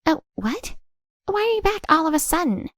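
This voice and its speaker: A woman's voice: extremely high pitch, big pitch swings, moderate pace, fairly bright tone, no room sound, clean studio sound, pitched above the performer's own voice, heavily acted. A woman voicing a defensive, indignant cheating wife in a dramatic audio story. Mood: startled. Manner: pleading, indignant outbursts.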